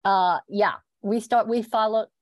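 A middle-aged woman explaining her work conversationally as an interview guest, informal and a little halting. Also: mid-range voice, steady tone, darkish tone, dry recording, clean studio sound. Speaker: interview guest, documentary filmmaker. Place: plain room at home, indoors. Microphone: wired earbuds on video call.

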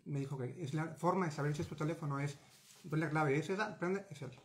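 Hombre mexicano, cuarentón, entrevistado por webcam desde su despacho; tono algo agudo, habla agitada, gesticula, se corrige a menudo. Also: male voice, on the high side, fairly quick. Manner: animated, gesturing, many restarts and fillers. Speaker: interviewee. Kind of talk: recounting a personal story. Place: small home office with bookshelves. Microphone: video call webcam.

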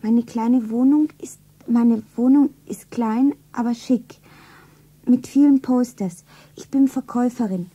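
Radio-play shopgirl, young, modest and dreamy; very mellow, husky-soft voice. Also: a little high, unhurried, poor audio. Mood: dreamy, self-absorbed. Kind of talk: radio play acting, self-narration.

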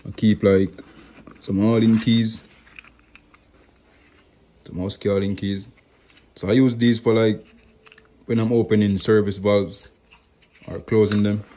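A man with a fairly low voice talks through his work tools in an easy, plain way, with lots of "like" and "right here." He is recorded indoors on a phone held close to the bag. Faint clicks and handling noise sit under his voice. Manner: relaxed, plain talk with many "like" and "right here". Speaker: HVAC technician showing his tools. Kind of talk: casual show-and-tell vlog. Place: indoors at home, wooden floor. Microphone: phone camera held close, filming his hands.

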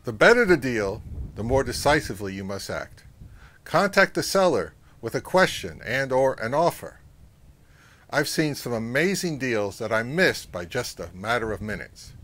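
A middle-aged man giving advice to camera as a channel host, speaking in a measured, instructive way. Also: medium pitch. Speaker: host of a vintage audio channel. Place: outdoors, among trees or on a porch.

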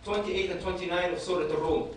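A man in his thirties, raised in Belgium with Dutch as his first language, giving an earnest, measured lecture from a panel table in a hall, often restarting his phrases. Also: high voice, steady tone, a little hiss or hum. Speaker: panelist speaker. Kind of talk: public lecture. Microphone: table microphone.

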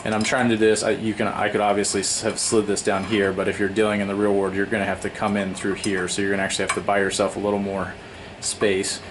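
A man with a low, fairly flat voice walks through a repair at an indoor workbench. He explains things in a relaxed, unscripted way, full of small pauses and self-corrections. A faint hiss sits under the recording. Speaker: DIY home repair presenter. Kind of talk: casual hands-on tutorial. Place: indoor workshop at a wooden workbench.

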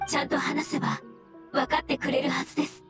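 A woman voicing a gentle, solemn mushroom spirit in an anime game, speaking formally and with earnest courtesy. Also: high voice, little pitch movement, quick pace. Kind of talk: Japanese anime game voice acting. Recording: game music behind.

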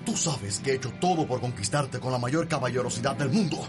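An actor with a Cuban accent playing an arrogant, possessive husband in a radio soap opera, haughty and dramatic. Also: male voice, medium pitch, a little fast, natural voice. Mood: aggrieved, demanding. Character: arrogant, possessive, abusive upper-class husband. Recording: loud music behind the voice.

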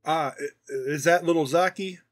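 A man's voice: on the high side, moderate pace, a bit bright, faint music behind. A man voicing an affectionate, proud, teasing old grandfather in a fond, folksy, chatty manner for a story reading.

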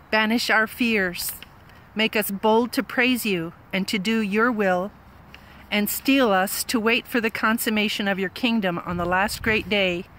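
A woman's voice: medium pitch, fairly bright tone. A woman reading a formal liturgical prayer, recorded outdoors. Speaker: prayer reader.

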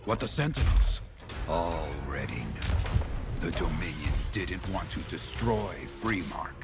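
Slow, low, grave game storyteller. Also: male voice, expressive. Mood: solemn. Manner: dramatic, deliberate. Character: grave male storyteller recounting an ancient catastrophe. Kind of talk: dramatic game cutscene narration. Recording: loud cinematic music under voice.

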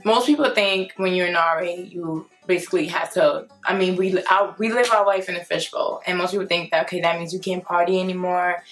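A woman's voice: fairly low pitch, fairly even intonation, fairly quick, few pauses, a bit bright, faint music behind, rough recording. A young woman from Brooklyn, a nursing student and resident assistant, giving earnest advice in an interview. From Brooklyn, New York. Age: young adult. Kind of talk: casual interview answers. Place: indoors before a plain grey backdrop.

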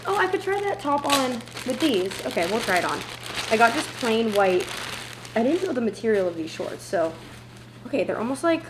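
Echoey, chatty young woman vlogging. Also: fairly high pitch. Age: 20s. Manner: chatty, informal, slangy asides. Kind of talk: casual vlog chat, try-on haul. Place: indoors, open-plan home kitchen and living area. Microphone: camera mic a few feet away. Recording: roomy echo with noticeable background noise.

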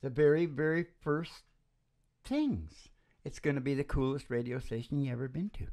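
A man's voice: medium pitch, animated, moderate pace, creaky, a little mellow. A middle-aged man hosting a country music show, chatting casually in a folksy, rambling, joking way at a stand microphone. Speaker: host and singer of a country music radio show. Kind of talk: casual on-stage banter between songs. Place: small indoor wood-panelled room. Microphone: stand microphone close to the mouth.

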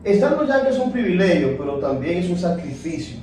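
A man with a Dominican accent, hosting a casual sports talk show, who speaks in emphatic, drawn-out phrases. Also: high voice, big pitch swings, dark tone, slight background noise. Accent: Dominican Spanish. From Dominican Republic. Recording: echoey room picked up from a distance.

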